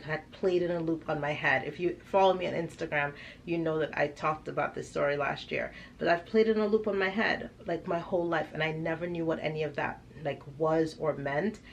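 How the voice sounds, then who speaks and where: A woman from Trinidad chatting casually and telling personal stories in an animated, emphatic way. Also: low voice, creaky, slight background noise. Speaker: vlogger and parent of six. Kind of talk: casual chat, personal storytelling.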